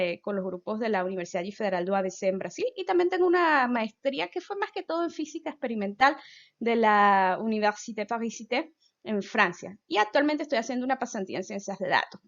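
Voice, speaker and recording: A Venezuelan woman in her thirties gives a relaxed science talk and keeps speaking straight to the listener in a casual way. Her voice sits a little high. The recording sounds dull and lo-fi. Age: 30s. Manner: chatty, addresses listener directly. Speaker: physicist giving an outreach talk. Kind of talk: informal science explainer.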